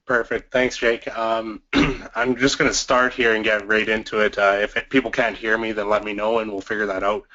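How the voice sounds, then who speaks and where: A man with a lowish voice speaks with a cold, his voice worn and fading as he clears his throat between points. He talks officials through a presentation on communication. The sound is dull and lo-fi. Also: level tone, moderate pace, hardly any pauses, sharp tone. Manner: frequent throat clearing, fillers. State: has a cold, losing his voice. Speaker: basketball referee presenter.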